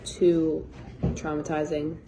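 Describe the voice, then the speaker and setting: A nineteen-year-old woman giving measured answers to personal questions. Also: sits low, little pitch movement, rough recording. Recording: crowd noise behind.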